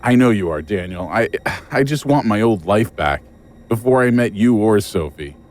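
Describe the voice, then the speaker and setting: Man acting animated, world-weary male investigator. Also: on the low side, moderate pace, close to an everyday voice. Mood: weary, regretful. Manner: agitated, emphatic. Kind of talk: audio drama acting.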